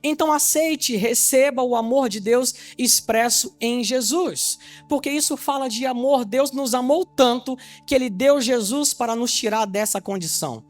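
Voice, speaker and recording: A man's voice: extremely high pitch, normal speed, few pauses, dry recording, faint music behind. A man from Salvador, Bahia, preaching an evangelistic sermon to his congregation. He teaches with rhetorical questions and keeps checking whether they understand. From Salvador, Bahia, Brazil. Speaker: pastor.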